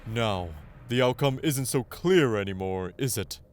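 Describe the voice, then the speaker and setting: A man's voice: medium pitch, expressive, a little slow, soft background music, natural voice. An actor playing an earnest, determined teenage boy card duelist in an anime-style audio drama, dramatic as he thinks aloud and calls out moves. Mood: confident.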